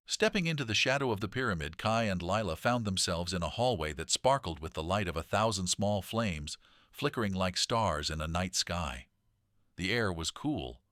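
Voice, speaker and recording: Deep-voiced, animated male storyteller. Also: no echo, studio quality. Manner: dramatic, animated delivery. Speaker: children's story narrator. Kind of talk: expressive scripted read-aloud storytelling.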